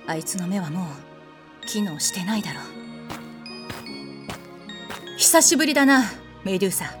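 A woman playing a haughty, quick-tempered warrior goddess in an audio drama, with blunt, commanding, masculine phrasing. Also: medium pitch, big pitch swings, over music, lightly acted. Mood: grim. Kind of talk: anime-like audio drama acting with narration.

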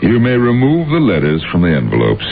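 An actor playing a stubborn, ill-tempered, imperious old industrialist in an old-time radio drama. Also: male voice, lowish voice, huge pitch swings, fairly quick, creaky, slight background noise, exaggerated voice. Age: older. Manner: imperious, curt, crusty. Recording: muffled phone-like old radio, loud music.

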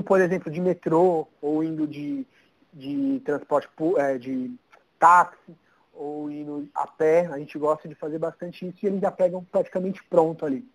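A man's voice: on the high side, vocal fry, low-quality recording. A man in his thirties with a São Paulo Brazilian accent, chatting informally as an interview guest. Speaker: interview guest, social media city guide creator. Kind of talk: casual chat.

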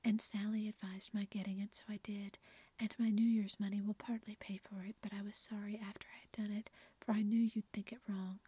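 A woman's voice: medium pitch, flat delivery, a little fast, mellow, husky, natural voice. A woman whispering an anxious young wife. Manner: whispered throughout.

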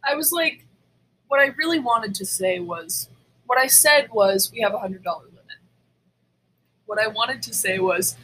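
A woman's voice: on the high side, normal speed, pauses often, pushed and bright, husky, low-quality recording. A woman co-hosting a podcast, telling stories in casual, blunt, emphatic chat with plenty of swearing. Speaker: podcast co-host. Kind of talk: casual, profane storytelling chat.

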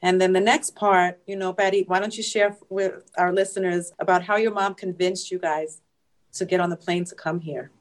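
A woman's voice: on the low side, fairly even intonation, normal speed. A woman co-interviewer prompting a guest to share more in a casual way.

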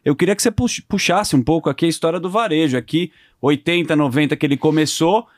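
A man's voice: a little high, fast talker, no room sound, studio quality. Slangy, casual podcast host man. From Brazil. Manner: casual slangy reactions, calls people cara and meu. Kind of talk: casual chat.

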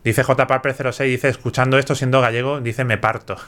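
A man's voice: medium pitch, steady tone, fairly quick, a bit bright. A man from Málaga with a mild Andalusian accent, hosting a chatty live stream and explaining things with many asides. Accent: Andalusian Spanish, mild. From Málaga, southern Spain. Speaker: host of a live chat. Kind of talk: casual live-stream chat.

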